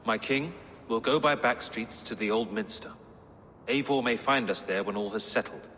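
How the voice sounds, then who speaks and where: A loyal, devout medieval royal aide played by a man in a video game. His mid-pitched speech is earnest and formal, heard with long reverb over noisy ambience. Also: normal speed, bright, forceful tone, close to an everyday voice. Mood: urgent. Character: loyal, pious male aide to a Saxon king. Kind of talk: video game cutscene acting. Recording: long reverb, clear background noise.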